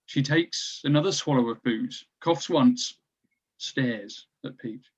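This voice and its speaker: A man's voice: medium pitch, slow, slightly rough, dull audio. A man reading stage directions as scripted narration for a screenplay table read.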